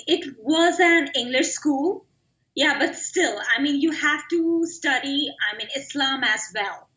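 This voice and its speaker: High-voiced woman from Bangladesh, slow. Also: a bit bright, reverberant, muffled recording. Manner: frequent "I mean" fillers, halting. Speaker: guest, activist. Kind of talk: personal interview.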